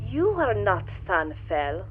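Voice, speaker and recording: A woman's voice: mid-range voice, very animated, takes it slowly, husky, phone-quality sound, exaggerated voice. A woman playing a proud, commanding, impatient ancient Martian in an audio drama, formal and imperious, heard through a communication-screen effect. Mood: suspicious. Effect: voice through a radio-like communication screen. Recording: thin radio-like band-limited sound.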